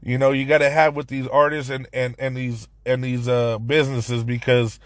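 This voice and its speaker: A man hosting a casual podcast, his voice hoarse and raspy from yelling, talking excitedly and rambling with many restarts. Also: mid-range voice, normal speed, no room sound. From Central California. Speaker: podcast host. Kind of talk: casual solo podcast talk.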